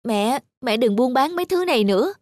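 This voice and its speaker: A woman's voice: high-pitched, animated, a little fast, a little mellow, no room sound, studio quality. A woman playing an emotional teenage daughter in a cartoon, devoted to her mother but easily ashamed. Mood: upset, ashamed. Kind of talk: cartoon voice acting.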